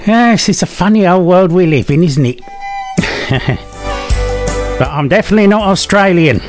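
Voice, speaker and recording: A man's voice: sits high, lively intonation, normal speed. A man with a London-area English accent presenting a music show in a chatty, musing, conversational way. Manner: conversational, musing, tag questions. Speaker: radio presenter.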